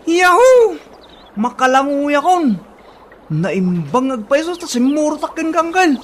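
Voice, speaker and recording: A performer playing a curious, excitable young child in a comedy drama. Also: high voice, slow pace, a little hiss or hum, heavily acted. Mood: gleeful.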